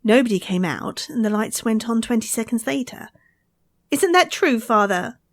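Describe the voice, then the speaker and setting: Woman playing an anxious, high-voiced young daughter. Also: animated, moderate pace, no room sound. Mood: earnest. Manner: earnest, dramatic. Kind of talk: 1940s-style radio mystery acting.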